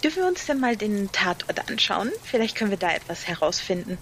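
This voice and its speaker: A woman's voice: mid-range voice, very animated, a little fast, a bit bright, a little hiss or hum. A woman speaking casually as a contestant in an audio mystery game, asking questions and announcing her moves.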